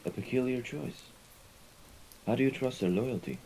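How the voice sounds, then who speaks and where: A man's voice: medium pitch, normal speed, mellow, a little hiss or hum, natural voice, poor audio. A man playing a loyal, deferential mafia henchman in a scripted ASMR roleplay drama, earnest and submissive toward a superior. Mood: puzzled, deferential.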